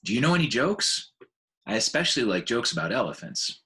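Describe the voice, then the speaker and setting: A man's voice: medium pitch, big pitch swings, a little fast, pushed and bright. A man voicing a curious, eager baby girl elephant in a children's book, with a childlike, questioning manner. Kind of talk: children's book read aloud.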